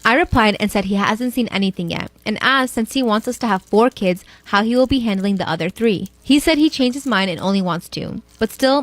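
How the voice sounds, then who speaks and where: A woman's voice: medium pitch, dry recording. Female story reader, mid-range voice, very dry recording. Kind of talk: reading a first-person story aloud.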